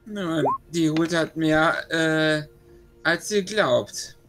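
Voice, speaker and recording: A man's voice: a little high, extremely slow, over music. A man taking part in a tabletop role-playing game, switching between in-character speech and casual chat.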